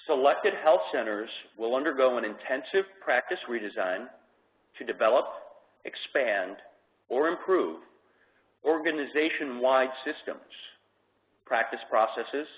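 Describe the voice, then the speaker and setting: A man's voice: medium pitch, animated, a little slow. A man hosting a web conference, reading a scripted presentation over a tinny phone line. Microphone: telephone conference call.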